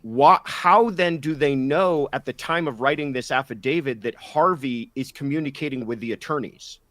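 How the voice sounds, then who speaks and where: A man's voice: mid-range voice, normal speed, fairly bright tone, no echo. A man in a conversational legal discussion, talking in a polite, questioning way as a panelist.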